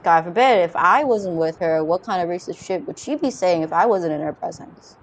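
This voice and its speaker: A man's voice: high-pitched, expressive, moderate pace, a little hiss or hum. A young man from China, adopted young, speaking reflectively in a documentary interview, often saying "you know".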